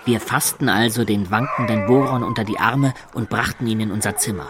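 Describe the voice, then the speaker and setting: A man's voice: on the low side, fairly quick, crowd noise, lightly acted. An actor playing a worldly medieval adventurer who recounts his youth in a reflective, confiding way in a radio drama. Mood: amused, recounting.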